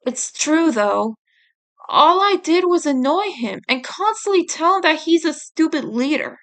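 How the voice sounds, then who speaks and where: A woman's voice: sits high, animated, measured pace, a bit bright, voice pushed up, full character voice. A woman reading the part of a blunt, guilt-ridden teenage mutant turtle boy in a story, awkward about feelings. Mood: guilty, upset.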